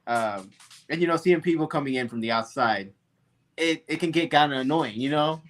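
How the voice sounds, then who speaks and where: A man from Puerto Rico, talking earnestly in a casual livestream chat, halting with fillers. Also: a little high, fairly bright tone. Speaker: comic co-creator.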